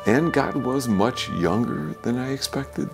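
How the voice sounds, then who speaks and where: An older man, a priest, giving a homily in a slow, gentle, reflective way, sometimes dropping to a soft whisper, from a small office. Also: medium pitch, lively intonation, over music. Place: small office with bookshelves, indoors.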